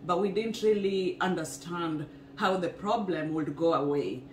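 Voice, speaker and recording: A woman from Kenya talks at a steady, earnest pace about her own life. She sits in an echoey home kitchen, with a faint hiss under the voice. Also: fairly low pitch, a little slow. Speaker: co-host, wellness couple. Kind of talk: casual personal story to camera. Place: home kitchen, indoors, small room. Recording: echoey room, faint noise.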